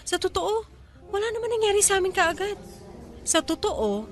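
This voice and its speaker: A woman's voice: very high voice, sing-song, moderate pace. A woman playing a meek, earnest young provincial mistress and single mother in a Filipino radio drama. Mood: meek, defensive.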